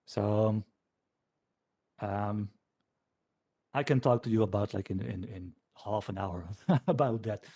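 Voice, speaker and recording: A man, a developer informally answering questions after a talk in a hesitant, halting way, as if thinking aloud. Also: lowish voice, mellow, husky. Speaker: software developer giving a conference talk.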